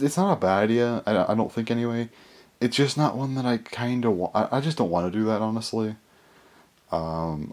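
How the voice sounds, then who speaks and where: Hoarse young man with a very low voice. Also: a little mellow. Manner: rambling, full of fillers and restarts. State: hoarse, voice mostly gone. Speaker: YouTuber. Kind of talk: casual vlog chat.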